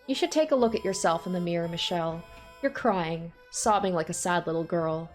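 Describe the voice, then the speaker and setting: A woman's voice: on the low side, brisk, soft background music, close to an everyday voice. A woman voicing a cruel young woman who mockingly taunts her captive in a dramatic story reading. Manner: sweetly mocking, sadistic.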